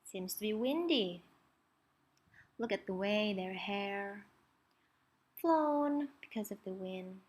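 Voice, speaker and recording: Female picture-book reader for small children. Expressive and very varied in pitch, unrushed, engaging the listener with questions. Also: takes it slowly, long pauses, slightly rough. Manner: expressive, asks the listener questions, points things out. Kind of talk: children's read-aloud with chatty asides to young listeners.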